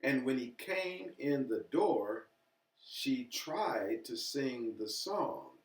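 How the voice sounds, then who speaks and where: A man reading a children's story aloud expressively and deliberately, pausing between short phrases. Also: medium pitch, very animated. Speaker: storybook reader. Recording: echoey room, distant from mic.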